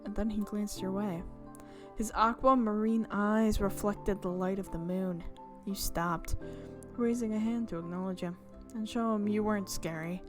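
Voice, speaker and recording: A woman's voice: mid-range voice, steady tone, normal speed. A woman game streamer reading visual novel narration aloud, breaking off into chatty, exclamatory asides. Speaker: gaming channel host. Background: game music.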